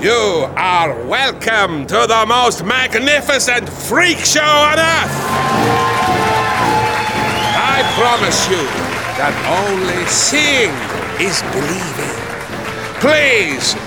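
A booming, boastful ringmaster bellows his acts in a radio play. His voice sits very high and he drags out every word slowly. Loud music and a roaring crowd fill the space behind him. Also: big pitch swings, heavily acted. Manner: booming, showy barker. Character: boastful male showman, grand and theatrical. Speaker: freak show ringmaster.

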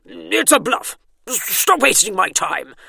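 A woman's voice: on the high side, sing-song, very slow, creaky, fairly bright tone, no echo, pitched above the performer's own voice, full character voice. A woman voicing Colin, a blustering male alien invasion commander who shrieks when cornered. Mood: panicked.

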